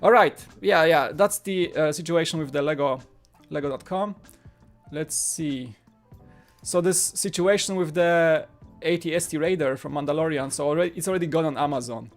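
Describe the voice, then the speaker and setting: Higher-pitched Polish man livestreaming over music. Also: moderate pace. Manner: rambling, repeats phrases for emphasis. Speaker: livestream host. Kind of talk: casual livestream chat. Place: small home studio room. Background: background music.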